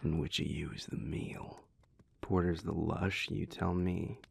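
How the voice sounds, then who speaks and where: A man voicing a bitter male vampire in an audio roleplay, talking bluntly and irritably with plenty of swearing, in a gravelly, breathy voice. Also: deep voice, steady tone, unhurried, whispered, full character voice. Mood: curt, fed up. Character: bitter young-turned male vampire, the listener's partner.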